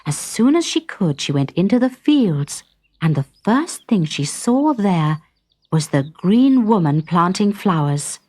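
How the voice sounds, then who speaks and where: Casting: female storyteller for children's tales, unhurried and deliberate, animated intonation. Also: on the low side. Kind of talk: expressive children's story narration.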